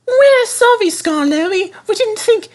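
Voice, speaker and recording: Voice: extremely high pitch, lively intonation, slow, darkish tone, voice pushed up, heavily acted. A man voicing mischievous, shrieking and quavering railway coaches from a storybook. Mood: meek, apologetic. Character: bickering, mischievous female railway coaches, meek when scolded.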